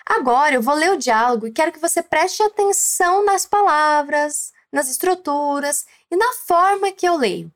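A woman with a Brazilian Portuguese accent, teaching a language lesson slowly and clearly, prompting the listener to repeat. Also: high-pitched, expressive. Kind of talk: scripted lesson narration.

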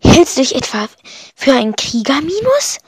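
A woman's voice: sits high, very animated, normal speed, a little mellow, dry recording, studio quality. A woman voicing a cautious, sceptical villager boy in a storybook, blunt and full of doubt. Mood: incredulous.

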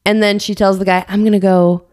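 A woman's voice: medium pitch, flat delivery, a little fast, darkish tone. Playful young woman recapping a film. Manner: playful storyteller, recaps plots. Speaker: podcast co-host. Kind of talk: casual chat.

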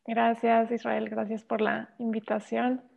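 A young woman, an investment analyst, speaking in a measured way with frequent filler pauses in a casual interview. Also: a little high, completely flat delivery, vocal fry, darkish tone. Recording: tinny phone-like call audio.

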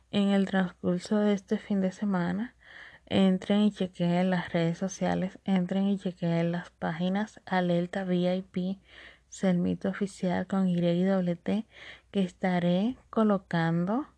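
A woman's voice: lowish voice, creaky, warm tone, dry recording. A woman with a Dominican accent hosting a solo podcast, chatty, laughing often and repeating catchphrases. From Dominican Republic. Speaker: podcast host.

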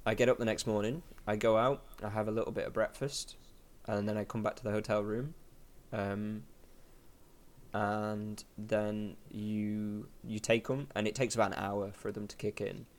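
A man's voice: fairly low pitch, little pitch movement, moderate pace. A man hosting a casual podcast chat, rambling with lots of fillers and restarts. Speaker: podcast host.

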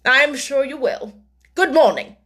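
A woman's voice: high voice, big pitch swings, measured pace, fairly bright tone, pitched above the performer's own voice, exaggerated voice. A shrewd, faintly sinister businessman in a storybook, put on by a woman narrator. He is dry and unruffled. His calculating remarks rise and fall expressively. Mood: sly, calm. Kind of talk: dramatised storybook reading.

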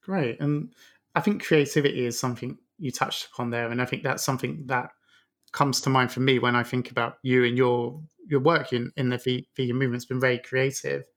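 A man's voice: mid-range voice, steady tone, fairly quick, a bit bright. Male podcast host, encouraging. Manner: encouraging, builds on the guest's answers. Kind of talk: casual interview questions.

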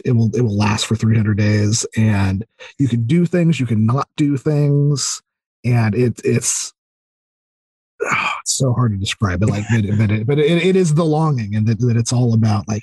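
Hesitant, enthusiastic man, husky close-up voice. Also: lowish voice, normal speed, a little mellow, no room sound, poor audio. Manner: hesitant, thinking aloud, enthusiastic. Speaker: podcast guest. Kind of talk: casual interview chat about video games.

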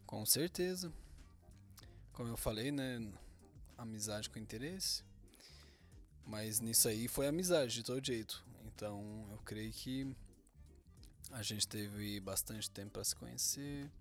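A young man, co-hosting a casual couple podcast with boastful, deadpan jokes. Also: lowish voice, unhurried, long pauses, faint music behind. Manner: joking, boastful, deadpan teasing. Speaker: podcast co-host.

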